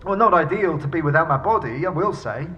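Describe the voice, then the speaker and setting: A man's voice: a little high, animated, fairly quick, pushed and bright, muffled recording, faint music behind. A man playing a chatty, genteel severed talking head in an audio drama, with a fussy, theatrically polite manner. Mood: cheerfully polite. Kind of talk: audio drama character acting.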